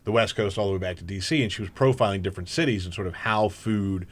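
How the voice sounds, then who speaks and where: A man hosting a talk radio show in a casual, energetic way, joking and laughing often as he interviews a guest. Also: lowish voice, quick pace. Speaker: fill-in radio show host.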